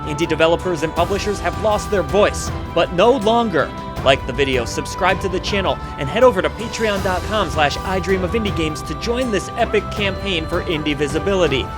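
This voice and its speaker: A man's voice: high-pitched, animated, brisk. A man reading a scripted channel promo as a dramatic, grandiose announcer. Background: prominent music.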